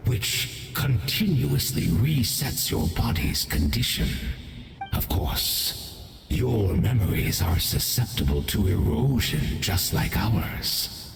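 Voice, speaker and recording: An actor playing a grandiose, scheming monstrous herald in a video game, speaking in a solemn, formal, declamatory way. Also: male voice, mid-range voice, animated, slow pace, gravelly, a little hiss or hum, exaggerated voice. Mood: calculating. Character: grandiose, scheming monstrous herald villain serving a royal master. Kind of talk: theatrical video game villain acting. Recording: long echoing reverb over background music.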